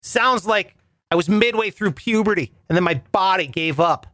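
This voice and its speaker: A young man with a reedy, nasal voice tells comic stories as a show host. His pitch swings widely as he plays up mock outrage. The sound is close and dry, with no room echo. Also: moderate pace. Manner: animated, mock-outraged storytelling. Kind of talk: comic monologue.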